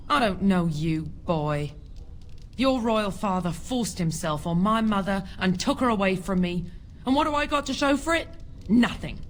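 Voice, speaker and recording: A woman's voice: mid-range voice, slight background noise, natural voice. A woman playing a bitter, poor mother of five in a video game, with a scornful, accusing way of talking. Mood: bitter resentment. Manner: scornful, accusing, working-class phrasing.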